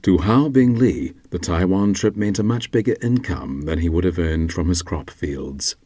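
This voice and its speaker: A man's voice: deep voice, moderate pace, warm tone, no room sound. A man reading scripted documentary narration as the narrator.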